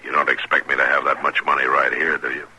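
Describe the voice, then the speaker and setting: A stern lawman of the Old West in a radio western, with an extremely deep voice. He speaks in terse, dry, measured phrases. The old recording has clear noise over it. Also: level tone. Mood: dry, probing.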